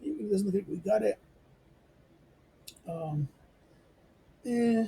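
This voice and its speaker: A hoarse man winds down a reading lecture in a loose, rambling way, stumbling over fillers and restarting his sentences. His voice sits high for a man, with a rough, gravelly edge and a dark, mellow tone. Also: slow, slight background noise, low-quality recording. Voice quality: squeaky. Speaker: lecturer and channel host. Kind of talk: informal spoken outro to a reading lecture.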